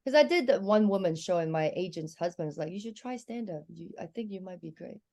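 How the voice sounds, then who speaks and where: A woman from Hong Kong with a noticeable Cantonese-tinged accent in her English, which is her second language. Her voice sits a little low for a woman. She tells personal stories in an open, animated way on a relaxed podcast chat. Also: brisk. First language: Chinese. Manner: candid, animated storytelling. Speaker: guest comedian.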